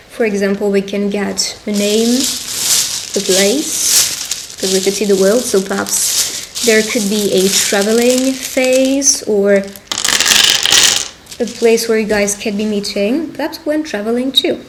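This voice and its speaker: Female tarot reader, medium pitch, addressing the listener intimately in short, affirming, unhurried phrases. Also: a little mellow, a little hiss or hum, poor audio. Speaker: tarot reader hosting a roleplay.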